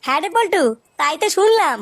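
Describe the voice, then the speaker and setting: A performer plays a gossipy young cartoon sidekick. The voice is extremely high and quick, earnest and slangy. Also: animated, fairly bright tone. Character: young gossiping friend bringing news to the gang. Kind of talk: crude comic cartoon dialogue.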